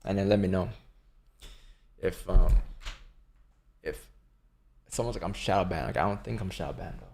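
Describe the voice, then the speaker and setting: A man's voice: low voice, expressive, moderate pace, vocal fry, long pauses, a little mellow. Laid-back young man on a trading stream. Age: 20s. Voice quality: laid-back, swears casually. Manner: rambling, answers chat questions. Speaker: livestream day trader. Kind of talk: casual live-stream chat.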